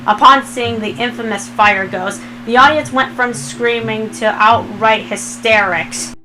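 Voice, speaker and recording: A woman's voice: on the high side, measured pace, noisy background. A woman reading fan fiction aloud as a narrator, in a scripted storytelling style.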